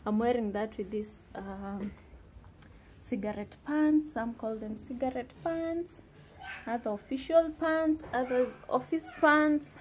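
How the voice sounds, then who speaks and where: A woman's voice: on the high side, unhurried, a little hiss or hum. A young adult woman with a Kenyan accent, chatting casually as she vlogs. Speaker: vlogger. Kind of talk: casual vlog chat mixing two languages. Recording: loud music bed under the voice. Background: loud music.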